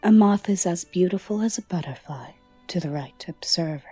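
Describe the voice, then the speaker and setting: A woman playing a soft-spoken, alluring and guarded gothic lady in an ASMR roleplay, formal and teasing, often dropping into a whisper. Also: lowish voice, expressive, husky, no room sound, faint music behind. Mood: fond, inviting. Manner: slow, formal, teasing endearments.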